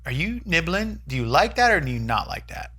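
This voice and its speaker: A man hosting a relaxed at-home chat, his voice lively and full of swings. The tone is bright and clear, and he rambles and jokes around easily. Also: fairly high pitch, fairly quick. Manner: playful, rambling, joking. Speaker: host. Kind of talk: casual chat.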